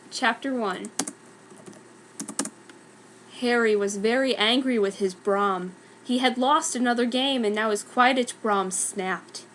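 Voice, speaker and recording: A woman's voice: a little high, slight background noise, low-quality recording. A woman giving a dramatic, mock-serious reading of a scripted story as narrator. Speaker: reader of a fan story.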